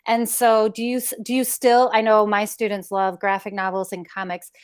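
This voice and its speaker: A woman's voice: medium pitch, little pitch movement, moderate pace, smooth. A woman interviewer on a video call, conversationally putting questions to a guest. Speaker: interviewer relaying children's questions.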